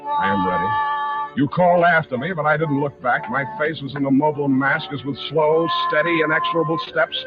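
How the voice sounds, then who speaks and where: An actor playing a cocky, sardonic private detective in 1940s radio drama style. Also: male voice, a little high, lively intonation, a little fast, over loud music, natural voice. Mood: grim, dramatic. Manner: sardonic, taunting, wisecracking. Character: hard-boiled male private detective, wisecracking and cocky. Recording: dull vintage radio recording, crowd-like noise behind.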